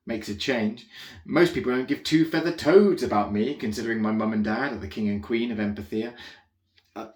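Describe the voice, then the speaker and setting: A man's voice: mid-range voice, reverberant, close to an everyday voice. A man reading a comic children's story as a rambling, easily distracted, naive young alien royal, chatty and full of self-correcting asides. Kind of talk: first-person comic children's audiobook narration.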